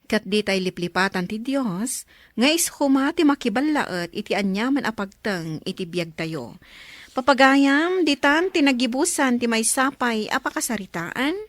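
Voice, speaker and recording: A woman's voice: mid-range voice, fairly bright tone, no echo. A woman announcer reading scripted radio drama narration and credits. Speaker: programme announcer.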